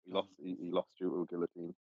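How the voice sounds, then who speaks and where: A man's voice: low-pitched, dry recording. A man chatting about fights on a podcast, hesitant with many ums and chuckles, over an online call. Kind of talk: casual sports chat. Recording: dull, dry online call audio.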